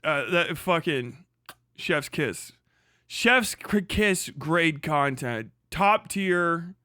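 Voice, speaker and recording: Male livestream host: lively swinging pitch, close dry sound, crude joking commentary, stumbles and repeats. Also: clean tone, no echo. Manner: profane, joking, halting and repetitive in delivery.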